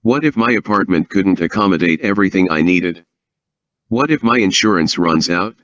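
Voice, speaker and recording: A man's account is delivered as a prepared reading in a synthesized text-to-speech voice, fluent and even with no fillers. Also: low voice, normal speed, no room sound, lo-fi sound. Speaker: author and guest.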